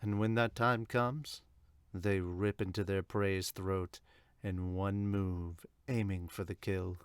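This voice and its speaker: A man voicing a coldly patient, predatory young golf rival with a slow, low, deliberate delivery. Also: fairly even intonation, clear, resonant tone, no echo. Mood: cold, menacing.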